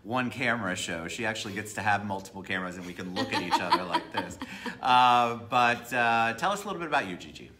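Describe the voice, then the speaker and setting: Upbeat male live-stream host, bright voice. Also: on the low side, animated, quick pace. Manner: upbeat, chatty, greets viewers by name. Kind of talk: casual live interview.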